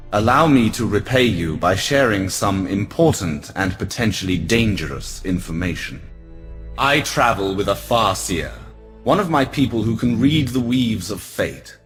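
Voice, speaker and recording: An actor playing a proud, aloof alien elf prince in a video game, speaking in a measured, formal and haughty way. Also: male voice, on the low side, lively intonation. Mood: grave warning. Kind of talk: video game cutscene acting. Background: music.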